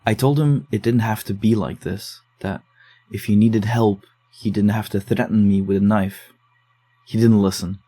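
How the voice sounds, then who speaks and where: Actor as low, mellow-voiced male investigator. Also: fairly even intonation, moderate pace, close to an everyday voice. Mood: earnest, narrating. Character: dogged, low-key man investigating psychiatric case files. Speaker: investigator digging through case notes. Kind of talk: psychological horror audio drama acting.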